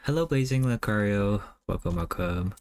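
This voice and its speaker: A man whose first language is Cantonese, chatting casually on a livestream while he draws, musing aloud softly with many fillers. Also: fairly low pitch, lively intonation, normal speed. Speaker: streamer.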